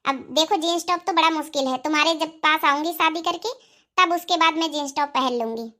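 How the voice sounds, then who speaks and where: Woman as a haughty, very high-voiced young wife. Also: fairly quick, bright, forceful tone. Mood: coy. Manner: haughty, sassy. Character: haughty young schoolteacher wife, vain and defiant. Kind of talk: comedy cartoon dialogue.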